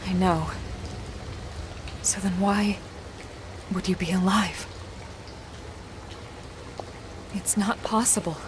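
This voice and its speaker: A woman plays a grave, composed female officer in a video game cutscene. Her delivery is clipped and matter-of-fact at a middling pitch, with clear machine-like ambient noise behind her. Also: fairly even intonation, slow pace, natural voice. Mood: stunned, puzzled.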